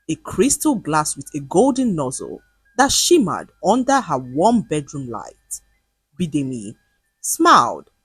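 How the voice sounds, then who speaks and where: A woman narrating a scripted folktale in an expressive, dramatic storytelling manner. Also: sits low, slightly rough, no room sound.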